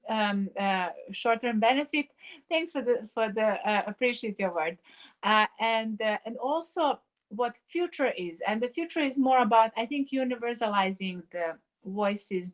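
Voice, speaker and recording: A woman, a live-streaming artist chatting casually while she paints, musing in wandering sentences with many pauses. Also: mid-range voice, dull audio. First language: Serbo-Croatian. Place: home in the mountains.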